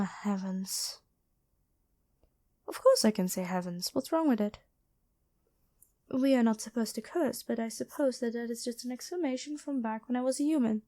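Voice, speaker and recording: A woman playing a gentle, dutiful angel medic in an ASMR roleplay, speaking soothingly and caringly to one listener. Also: a little high. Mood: gentle, matter-of-fact.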